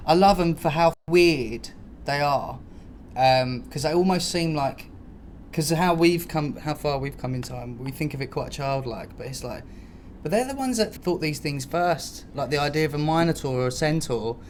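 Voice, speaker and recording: Rambling higher-pitched man, noisy recording. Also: animated, moderate pace. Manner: rambling, slangy, sweary storyteller. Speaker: podcast co-host. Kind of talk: casual comic podcast chat. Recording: clear background noise.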